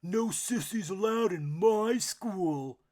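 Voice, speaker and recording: A woman voicing a big bully boy duckling who sneers and mocks, in a slow, loud storybook read. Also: mid-range voice, animated, pitched above the performer's own voice. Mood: taunting. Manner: sneering, mocking.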